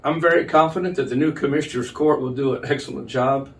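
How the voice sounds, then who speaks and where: An older man answers interview questions as a local official. His voice has an ordinary middle pitch and is picked up from a little distance. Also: fast. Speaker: county judge being interviewed.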